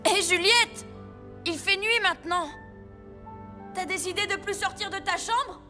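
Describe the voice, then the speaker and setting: A cheeky young cartoon boy, extremely high and bright. He sounds brash, with long reverb around the voice. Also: unhurried, faint music behind, exaggerated voice. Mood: impatient teasing. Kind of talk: French animated drama dub.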